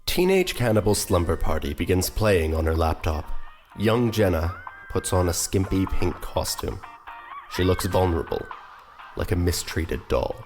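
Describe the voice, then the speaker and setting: Grave, theatrical narrator with sweeping pitch, a man. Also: lively intonation, moderate pace, a little mellow, soft background music. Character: grave, theatrical narrator of a fateful true story. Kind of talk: dramatic scripted narration.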